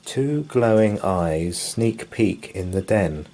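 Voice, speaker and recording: A man with a low, mellow voice reads a children's bedtime picture book aloud. He takes it very slowly and lets his pitch swing up and down through the rhyming lines. A faint hiss of background noise sits under the reading. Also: animated. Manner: slow, sing-song storytelling. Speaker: story reader. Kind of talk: bedtime story read-aloud.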